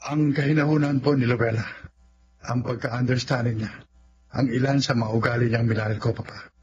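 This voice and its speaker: A man's voice: mid-range voice, fairly even intonation, moderate pace, a little mellow, lo-fi sound, a little hiss or hum, lightly acted. An actor playing a firm, indignant young man devoted to his fiancée, in a Filipino radio drama style. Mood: respectful, resentful. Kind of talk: Tagalog radio drama acting.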